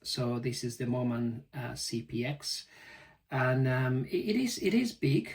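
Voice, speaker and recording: A man giving a casual, halting unboxing commentary as a product reviewer. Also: mid-range voice, a little mellow. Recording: distant mic, faint background noise.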